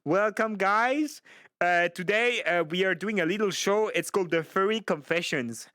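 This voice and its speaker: High-voiced playful male streamer. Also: normal speed, bright, forceful tone, dry recording, clean studio sound. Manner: playful, laughs often, theatrical reading. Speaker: streamer host. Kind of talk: casual stream chat, reading viewer confessions.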